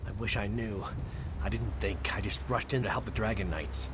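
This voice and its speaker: An actor playing a brash, gallant guard captain with a familiar, plain-spoken manner in a fantasy game. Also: male voice, lowish voice, normal speed, noisy background, lightly acted. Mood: concerned, resolute. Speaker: game character. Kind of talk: scripted fantasy game dialogue. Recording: music under the voice.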